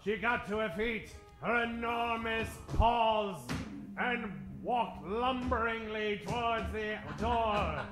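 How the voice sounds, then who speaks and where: A man's voice: high voice, slow pace. A man narrating a comic old-time radio serial in a dramatic, tongue-in-cheek way. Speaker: narrator, adventure host. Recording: echoey stage, music behind.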